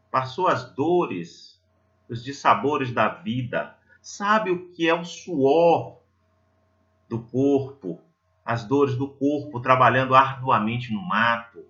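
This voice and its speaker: A man's voice: medium pitch, pushed and bright, lo-fi sound. A Brazilian man giving a religious talk in a conversational, didactic way, telling stories and putting reflective questions to his listeners. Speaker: lay preacher at a Spiritist centre.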